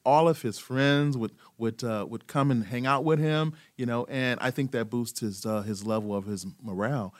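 A middle-aged man, a guest in an interview, talking about his son in a relaxed, rambling way with lots of fillers. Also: medium pitch, moderate pace, hardly any pauses, dry recording. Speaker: guest, parent. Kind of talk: casual interview conversation.

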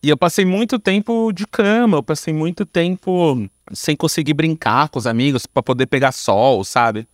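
Higher-voiced Brazilian man, casual podcast guest. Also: normal speed, hardly any pauses, dry recording, studio quality. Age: 30s to early 40s. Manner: informal, explanatory, frequent tag words. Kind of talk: casual conversational storytelling.

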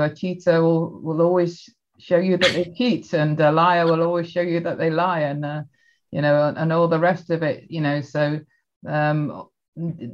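A woman's voice: low voice, dull audio. A woman hosting a podcast conversation, agreeable and hesitant, with many fillers.

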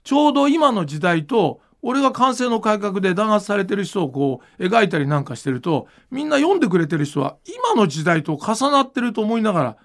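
A man talks in a high voice with plenty of creak in it. His pitch moves around freely as he explains things casually, like a host thinking aloud. The delivery is informal and animated. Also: fairly quick, hardly any pauses. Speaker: podcast host and manga creator.

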